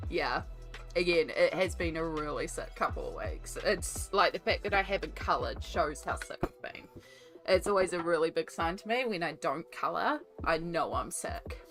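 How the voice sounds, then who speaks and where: An Australian woman with a cold, her voice lowered and coughing, chatting casually and rambling with many ums while she colours on a stream. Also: expressive, moderate pace, fairly bright tone. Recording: faint music behind.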